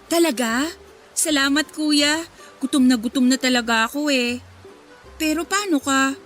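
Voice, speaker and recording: A woman playing a sweet nineteen-year-old nursing student in a Filipino radio drama, with a gentle, friendly way of talking. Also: high voice, normal speed, soft background music. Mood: grateful, friendly.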